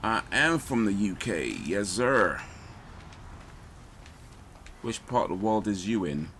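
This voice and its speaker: A man's voice: lowish voice, animated, slow, fairly bright tone, slight background noise. A man in his thirties from London with a London accent, chatting casually with his viewers as he streams a game. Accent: London English. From London, England. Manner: laid-back and chatty. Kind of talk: casual live-stream chat.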